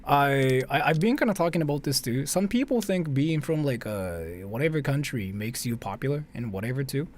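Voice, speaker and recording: Man, livestream host, animated pitch, thinking aloud, blunt, some noise behind the voice. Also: fairly quick, hardly any pauses. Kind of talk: casual livestream reaction chat.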